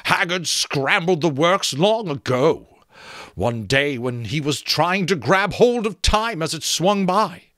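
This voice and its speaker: A man performs a talking skull from a fantasy audiobook, pitched well up and swinging widely. It is wheedling, teasing and worldly-wise, and it draws words out with theatrical relish. The sound is close and dry. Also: lively intonation, slow, fairly bright tone, no echo. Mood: instructive.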